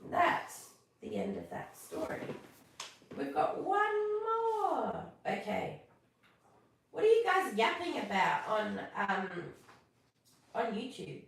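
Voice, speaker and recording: Echoey, lively Australian woman storyteller. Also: a little high, slow, creaky, long pauses, a little hiss or hum. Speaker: live stream host reading bedtime stories. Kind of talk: casual chat and expressive storybook reading. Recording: echoey room, distant from mic.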